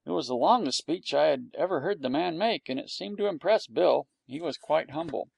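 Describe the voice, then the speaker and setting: A man's voice: on the high side, animated, fairly quick, vocal fry, a little mellow, slightly rough, no room sound. A man reading a storybook aloud in a wry storytelling manner. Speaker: audiobook narrator.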